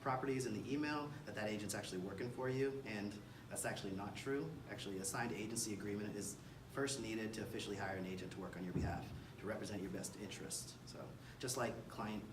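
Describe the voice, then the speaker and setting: American male realtor, seminar delivery, lowish pitch, halting flow, captured far from the mic in an echoey space. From Kansas City, United States. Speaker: real estate agent presenting a seminar. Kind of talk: seminar presentation. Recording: distant mic, roomy echo, clear background noise.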